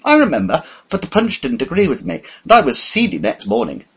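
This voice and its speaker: A polite elderly gentleman, thrown off balance, stammers and hesitates, as a man reading aloud puts him on. His voice leaps upward and wobbles across a wide range, a little husky. The recording sounds dull and lo-fi. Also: huge pitch swings, a little fast, full character voice. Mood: flustered. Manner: hesitant, stammering, rising to high pitch. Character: flustered elderly English gentleman, polite and bewildered.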